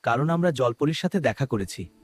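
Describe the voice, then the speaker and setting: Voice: medium pitch, animated, brisk, no echo, close to an everyday voice. A performer voicing a curious child friend in a scripted cartoon adventure, with short wondering lines. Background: music.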